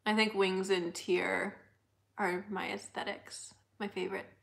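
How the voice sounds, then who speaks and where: A young woman with a high, slightly squeaky voice, hosting a casual livestream and chatting while reading out viewer comments, laughing often. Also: little pitch movement, measured pace, gravelly, fairly bright tone. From United States. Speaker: livestream host.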